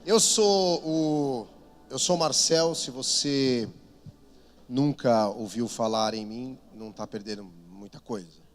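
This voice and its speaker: A man's voice: medium pitch, slow, faint music behind. A man in his thirties from São Paulo with a Paulista accent, a missionary preaching in a chatty, self-deprecating way. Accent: Paulista Brazilian Portuguese. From São Paulo, Brazil. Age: 30s. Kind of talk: conversational sermon storytelling. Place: large church hall. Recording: long reverb of a church hall.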